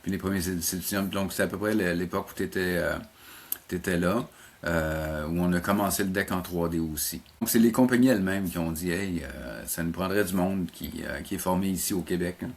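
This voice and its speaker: A middle-aged man with a Quebec French accent speaks in a low register. He talks as an interview guest, in a conversational, halting way, often doubling back on words as he explains. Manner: halting, repeats words while recounting. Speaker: college animation teacher, interview guest.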